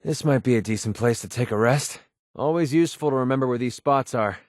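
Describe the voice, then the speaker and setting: Guy playing a wisecracking young merc in a video game, sardonic and grumbly, medium pitch, super dry recording. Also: a little fast, no room sound, lightly acted. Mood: uneasy, casual. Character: cynical, wisecracking young mercenary sniper in a wasteland. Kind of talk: video game companion dialogue.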